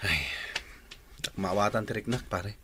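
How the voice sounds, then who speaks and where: A man's voice: on the low side, a little slow, pushed and bright, slight background noise. A man with a Filipino accent acting a reticent young man torn about love in a radio drama. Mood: troubled.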